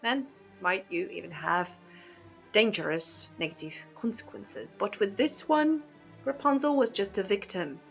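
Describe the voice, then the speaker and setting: A woman's voice: medium pitch, lively intonation, measured pace, faint music behind. A woman giving casual commentary to viewers as a host, talking in a hesitant, wry way with rhetorical asides. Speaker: host of a book and fairy tale commentary channel.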